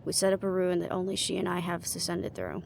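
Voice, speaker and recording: A woman's voice: on the low side, flat delivery, quick pace, creaky, a little hiss or hum. A woman playing a guarded, weary young team leader in a fantasy audio roleplay, with a dry, casual delivery. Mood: weary, confessional.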